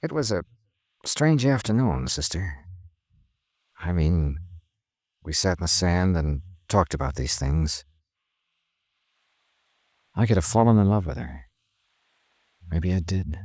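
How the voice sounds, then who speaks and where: Deep-voiced, world-weary radio detective. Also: male voice, expressive, slow pace, long pauses, darkish tone, no room sound, studio quality, heavily acted. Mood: shaken, wistful. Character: world-weary male insurance investigator, grimly narrating his case. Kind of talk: 1950s radio detective drama acting. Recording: vintage radio drama with music underneath.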